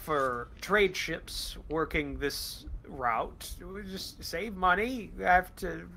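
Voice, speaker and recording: Man voicing a stingy male ship captain, flustered, evasive, hesitant, bright voice. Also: high voice, slow, creaky, a little hiss or hum, exaggerated voice. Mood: defensive.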